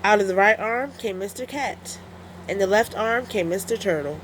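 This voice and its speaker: A woman storyteller narrating a children's story in an expressive, deliberate read-aloud style. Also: medium pitch, slight background noise, poor audio.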